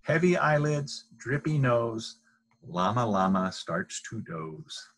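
Middle aged guy reading a kids picture book, kinda husky, slow and sing-songy. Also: medium pitch, sing-song, a bit bright. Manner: deliberate, sing-song read-aloud delivery. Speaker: storybook reader. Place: small indoor room.

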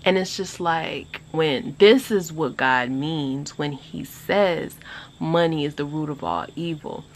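A woman in her twenties chatting casually to a phone camera on an outdoor porch, animated and rambling. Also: slow, a little hiss or hum. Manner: animated, chatty, rambling with lively pitch jumps. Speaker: vlogger. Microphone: phone camera at arm's length.

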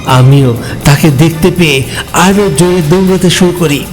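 A man's voice: a little high, normal speed, soft background music, natural voice, rough recording. A man playing a frightened narrator who recounts a ghost story in a dramatic, suspenseful way. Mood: anxious, dramatic. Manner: suspenseful, with anxious repetition. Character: frightened male hotel customer recounting his ghost encounter. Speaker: first-person narrator.

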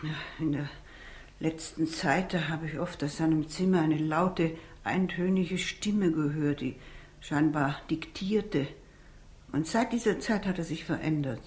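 An actress in a 1960s radio crime drama playing a stout, sombre older housekeeper who recounts things in a measured way. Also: female voice, low voice, a little mellow. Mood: grave, reporting.

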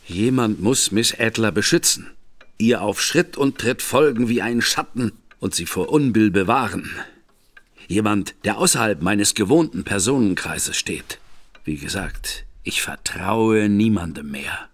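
Casting: a grave, formal gentleman in a radio play, low husky voice, lively intonation. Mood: grave, earnest. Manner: measured, formal and earnest. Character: grave, guarded detective's brother, reserved gentleman. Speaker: Mycroft Holmes.

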